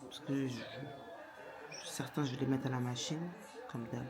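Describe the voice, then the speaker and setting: A young woman chatting casually in a vlog, with a stammer that makes her repeat and restart words in a halting way. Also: rumbling low, steady tone, music in the background. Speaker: lifestyle vlogger, mother of young children. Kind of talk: casual chat to camera.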